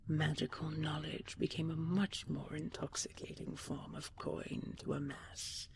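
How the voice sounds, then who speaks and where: Female actor as regal, wistful dragon queen, intimate audio drama. Unhurried, breathy, hushed delivery over faint background ambience. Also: sits low, little pitch movement, slight background noise. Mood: wise, wistful. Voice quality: soft, breathy, often half-whispered. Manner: slow, measured, stately, with lingering pauses. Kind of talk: intimate audio roleplay for sleep, ASMR-like.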